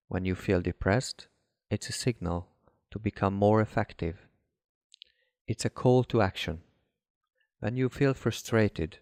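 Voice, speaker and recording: A man reading a guided hypnosis relaxation script as a hypnotherapist, in a measured, instructive way with deliberate pauses. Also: lowish voice, a little mellow, dry recording, studio quality. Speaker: hypnotherapist guiding a session.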